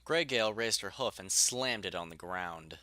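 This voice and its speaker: A man's voice: low voice, expressive, moderate pace, sharp tone, no room sound, studio quality. A man narrating a scripted story as its narrator.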